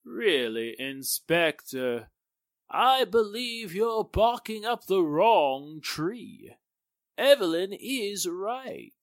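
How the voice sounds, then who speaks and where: An actor playing an indignant, blustering, protective gentleman in a pompous 1940s-style radio drama manner. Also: high voice, very animated, drawn-out words, no room sound, clean studio sound, full character voice. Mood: earnest.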